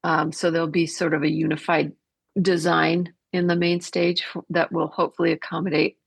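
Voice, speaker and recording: A woman with a markedly low-pitched voice talks as a radio interview guest. She is conversational and explanatory, thinking as she goes. The recording is tight and dry. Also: level tone, normal speed, vocal fry, husky, no room sound. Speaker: theatre artistic director, interview guest.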